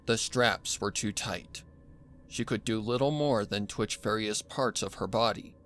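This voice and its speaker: A man reading a dark horror story as a dramatic scripted narration. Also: fairly low pitch, measured pace, soft background music. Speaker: fan fiction narrator.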